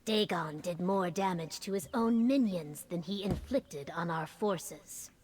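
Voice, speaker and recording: Stoic female reptilian commander, played by an actress: dignified, deliberate fantasy game delivery with long reverb. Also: on the low side, a little mellow, close to an everyday voice. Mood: stoic, grave. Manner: formal, measured, dignified. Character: stoic, wise female reptilian Argonian war leader. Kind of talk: fantasy video game dialogue.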